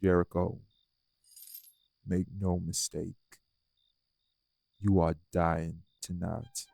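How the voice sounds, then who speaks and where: A man playing a bitter, guilt-worn vampire sire in an audio drama, with a gravelly voice and a deliberate, emphatic, accusing delivery. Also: sits low, little pitch movement, warm tone, no room sound, heavily acted. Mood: cold, defiant. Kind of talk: dramatic audio roleplay acting.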